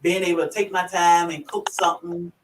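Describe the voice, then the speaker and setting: A woman's voice: lowish voice, steady tone, fairly quick, a little hiss or hum. Country-voiced Virginia woman in an echoey room. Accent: rural Virginia. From Virginia, USA. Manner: chatty, laughs often, greets viewers by name. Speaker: livestream host. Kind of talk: casual live chat. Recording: echoey room, far from the mic.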